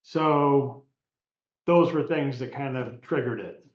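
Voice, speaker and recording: An older man, a retiring coach, answers an interview question haltingly, with lots of pauses and fillers. The audio is echoey and roomy, and its dull, muffled sound takes the edge off his voice. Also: medium pitch. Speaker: retiring college soccer coach.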